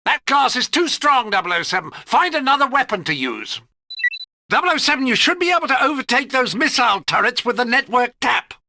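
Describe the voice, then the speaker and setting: An actor playing a fussy, proud gadget inventor who briefs a spy precisely in a video game. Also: male voice, extremely high pitch, sing-song, brisk, sharp tone, slight background noise, full character voice. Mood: instructive. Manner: precise, instructive, slightly fussy.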